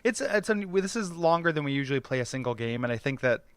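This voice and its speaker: A man's voice: fairly high pitch, expressive, fast talker, a bit bright, clear, resonant tone, dry recording. A man chatting casually as a podcast guest, laughing often and filling with likes and ums. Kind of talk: casual chat. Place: Portland.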